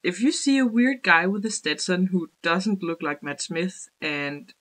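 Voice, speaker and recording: A woman's voice: lowish voice, unhurried, a bit bright. A young Danish woman vlogging casually to a webcam in her bedroom, rambling and laughing at herself, speaking English as a second language. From Denmark. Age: young adult. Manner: rambling, self-deprecating, giggly, gestures a lot. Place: small bedroom indoors. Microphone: built-in webcam mic.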